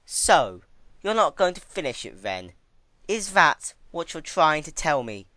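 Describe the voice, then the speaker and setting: A performer voicing a cruel, cold female pony tormentor with menacing, drawn-out emphasis in a fan fiction reading. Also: medium pitch, sing-song, bright, forceful tone, dry recording, pitched above the performer's own voice. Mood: cold, threatening.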